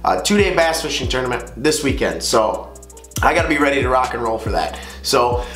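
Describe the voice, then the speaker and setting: A man's voice: mid-range voice, a little fast. A man with a slightly stuffy, nasal voice from a cold, talking in a laid-back, rambling way with lots of "um" and "uh". He sounds like he is in an echoey space, with faint music underneath. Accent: Upper Midwest American. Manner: relaxed rambling, many "um" and "uh". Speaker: fishing video host. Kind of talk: casual how-to talk to camera.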